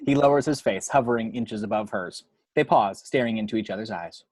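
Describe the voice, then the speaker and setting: A man's voice: lowish voice, brisk, a little mellow, dry recording. A man reading out the action description of a screenplay as a scripted narrator.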